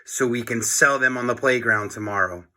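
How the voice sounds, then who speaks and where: A man voicing an eager, mischievous schoolboy in a read-aloud storybook, confident and expressive. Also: medium pitch, normal speed.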